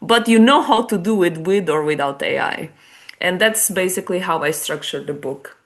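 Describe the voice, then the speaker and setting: A woman's voice: fairly low pitch, animated, moderate pace. A woman with a Portuguese accent, a non-native English speaker, explaining her ideas in a chatty, casual interview. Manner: chatty, explanatory, many restarts and small laughs. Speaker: guest author and business development head.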